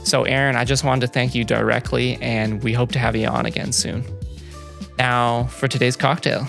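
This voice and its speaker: A man hosting a podcast, casually reading a guest introduction from his notes, with soft music underneath. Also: medium pitch, level tone, normal speed, vocal fry. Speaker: podcast host.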